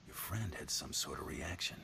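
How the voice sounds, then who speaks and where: An actor playing a cool, tough hired gun in a video game, with a dry, sardonic way of talking. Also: male voice, deep voice, expressive, normal speed, creaky, bright, forceful tone, a little hiss or hum, heavily acted. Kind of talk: video game dialogue acting.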